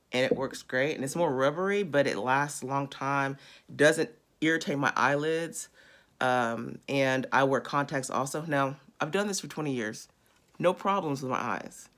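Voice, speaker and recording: A woman in her thirties with a raspy voice, chatting casually while she demonstrates a beauty routine close to a phone camera indoors. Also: very deep voice, fairly bright tone. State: raspy after illness. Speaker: beauty vlogger. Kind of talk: casual how-to demo. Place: small indoor room.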